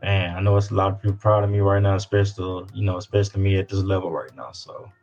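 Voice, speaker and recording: Male interview guest, low voice, Southern US accent, loose slangy delivery that circles back over phrases. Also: steady tone, quick pace, a little mellow, highs cut off, soft background music. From Louisiana. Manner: informal slangy, repeats phrases. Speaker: independent rapper guest. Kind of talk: casual interview chat.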